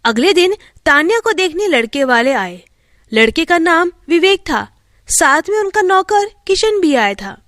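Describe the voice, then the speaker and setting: A woman's voice: very high-pitched, big pitch swings, unhurried, fairly bright tone, dry recording, clean studio sound. A woman storyteller reading scripted narration for a moral tale.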